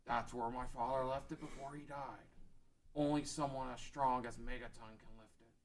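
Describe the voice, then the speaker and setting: A man playing a gentle, self-doubting chief of rock people in an amateur fantasy table read. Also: medium pitch, steady tone, moderate pace, a little hiss or hum, close to an everyday voice.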